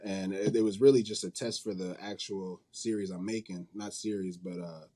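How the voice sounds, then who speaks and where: A man's voice: deep voice, fairly even intonation, moderate pace, mellow. A man being interviewed in a casual, informal and rambling way, often correcting himself as he goes. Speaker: interview guest, manga company head and creator.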